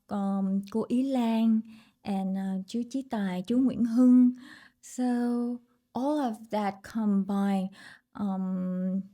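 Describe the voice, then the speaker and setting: A woman with a Vietnamese accent talks slowly as a podcast guest. Her English comes in short, halting phrases with fillers and restarts. Her pitch sits in a middle range. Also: dark tone. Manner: unhurried, with fillers and restarts, laughs often. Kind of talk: casual interview chat.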